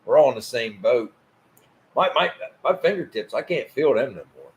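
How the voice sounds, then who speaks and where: A man's voice: mid-range voice, animated, normal speed, lo-fi sound. A man in his fifties hosting a casual live chat about cars, easygoing, often stammering and laughing as he talks. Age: 50s. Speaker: host.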